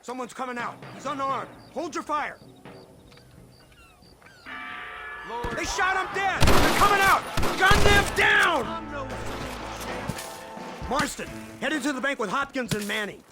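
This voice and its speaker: A man's voice: very high voice, very animated, a little slow, over music, exaggerated voice. A man playing an urgent lawman in a western game, giving clipped, commanding orders. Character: commanding, urgent male lawman leading an armed posse. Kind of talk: action game cutscene acting.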